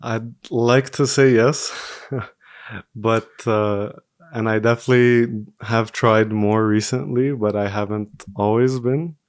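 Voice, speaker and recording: A man's voice: lowish voice, fairly even intonation, slow, dry recording, clean studio sound. A man in his twenties from Singapore, speaking casually and conversationally as a podcast guest. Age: 20s. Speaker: podcast guest, medical student.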